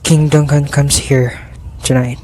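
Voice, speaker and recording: A man's voice: a little high, flat delivery, unhurried, mellow, a little hiss or hum, close to an everyday voice. A man playing a troubled, wavering nobleman in a radio drama, hesitant and brooding, with music behind him. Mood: uneasy. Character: Macbeth, troubled, wavering Scottish nobleman and husband.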